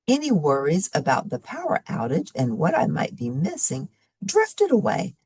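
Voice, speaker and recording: A woman voicing a laid-back, amusing couch potato who tells his story in a dramatic, expressive storybook manner. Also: deep voice, moderate pace, husky, no room sound, heavily acted. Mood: wondering.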